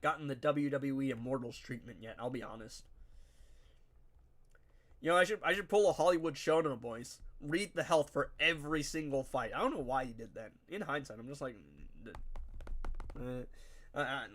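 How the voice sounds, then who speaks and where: A young man with a nasal, stuffed-up voice gives loose gameplay commentary to his live chat. He talks in a chatty, slangy way, and his voice sits a bit on the higher side. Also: animated, normal speed, fairly bright tone. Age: young adult. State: stuffy, congested nose. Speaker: game streamer.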